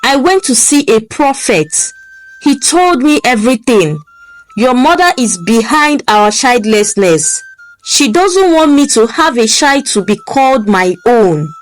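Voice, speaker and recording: A woman voicing a distressed young wife, speaking slowly and heavily. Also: fairly high pitch, very animated, faint music behind, low-quality recording. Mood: angry accusing. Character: distressed young wife, tearful then furious.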